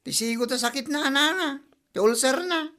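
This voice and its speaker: A woman's voice: high-pitched, normal speed, bright, forceful tone. A woman playing a sassy, gossipy village friend with an Ilocano accent in a comedy drama podcast.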